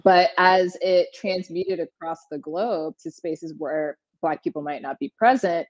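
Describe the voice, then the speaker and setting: A woman moderating a talk, asking long, winding questions in a relaxed conversational way. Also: on the low side, unhurried, dry recording, muffled recording. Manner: long rambling questions with light laughs.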